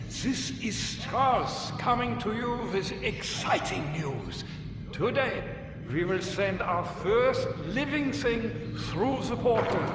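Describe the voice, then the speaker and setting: An actor playing an excitable, fussy German male scientist with theatrical exclamations, in a video game audio log. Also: high voice, heavily acted. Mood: cheerfully proud. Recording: echoey hall, distant mic, loud backing.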